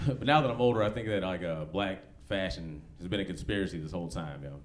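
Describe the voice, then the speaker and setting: A man's voice: sits low, fast. A forty-year-old American man doing conversational, slangy, profane stand-up comedy in a laid-back way, live in a club. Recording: live club recording with background noise.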